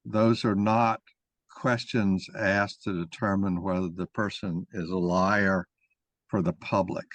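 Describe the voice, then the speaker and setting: Low-voiced man explaining, dry close sound. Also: steady tone, slow pace, no room sound. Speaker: moderator and main speaker of a mock-trial discussion. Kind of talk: informal explanatory discussion.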